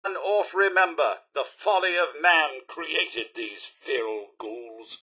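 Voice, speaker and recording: A man's voice: on the high side, sharp tone, breathy edge, full character voice. A man voicing a polite, fussy, easily scandalised English robot butler in a video game, with prim, theatrical, deferential delivery and a British accent. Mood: appalled. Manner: prim, theatrical, deferential but quick to disapprove. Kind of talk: scripted video game character lines.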